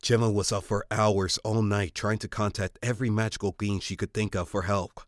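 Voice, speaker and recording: An actor playing an easygoing, supportive young boyfriend in a comedy audio drama. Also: male voice, lowish voice, level tone, moderate pace, natural voice.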